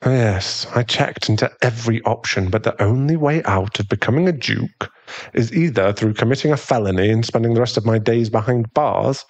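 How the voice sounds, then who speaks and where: Husky British werewolf boyfriend, acted by a man. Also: medium pitch, normal speed, close to an everyday voice. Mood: reassuring, caring. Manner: soothing, affectionate, genteel. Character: tender, protective aristocratic male werewolf fiancé. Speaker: ASMR roleplay performer. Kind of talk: ASMR boyfriend roleplay.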